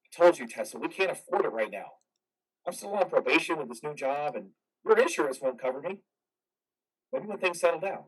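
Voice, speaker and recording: A man's voice: a little high, lively intonation, brisk, breathy edge, recorded from a distance, rough recording. A man in a reader's theater drama playing a troubled, haunted army veteran, earnest and hesitant. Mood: weary, evasive.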